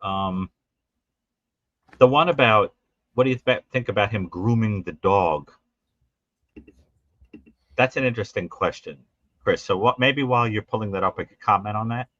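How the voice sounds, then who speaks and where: A man talking as a guest on a live video call, rambling and halting with many restarts. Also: fairly low pitch, fairly bright tone, no room sound. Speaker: forensic psychologist guest. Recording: dry, muffled call audio.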